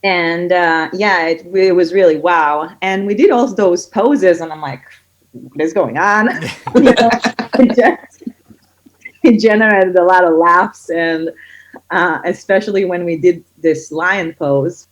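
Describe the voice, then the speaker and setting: A woman's voice: on the low side, slow pace. A middle-aged woman from Israel, a guest who runs a kids yoga studio, chatting casually with many fillers. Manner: chatty, many fillers, gets excited when telling stories. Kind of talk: casual podcast interview. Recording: dull lo-fi audio.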